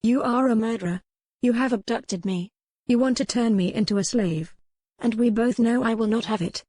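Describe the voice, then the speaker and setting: A woman's voice: on the high side, normal speed, dark tone, dry recording, voice pushed up, close to an everyday voice. A woman voicing a strong-willed captive noblewoman in an audiobook, formal, pointed and challenging. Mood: defiant. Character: defiant, sharp-witted captive noble lady, strong-willed. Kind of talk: audiobook character dialogue.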